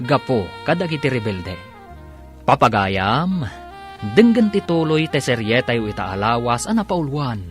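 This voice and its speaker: A man's voice: mid-range voice, very animated, a little slow. A man with a Filipino Ilocano accent narrating and announcing a radio drama in a dramatic, scripted style. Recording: radio broadcast with music behind.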